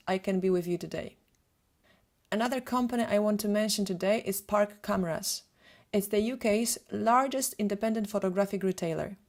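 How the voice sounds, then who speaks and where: A woman in her thirties with a Polish accent, a photographer presenting a prepared webinar in a steady, read-from-notes way. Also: medium pitch. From Poland.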